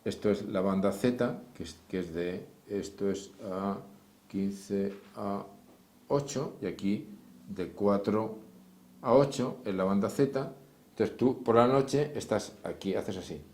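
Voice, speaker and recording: Low-voiced man teaching, echoey room. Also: takes it slowly, darkish tone. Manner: didactic, addressing listeners directly, with acted-out example dialogue. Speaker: therapist teaching a class. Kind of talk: informal explanatory lecture.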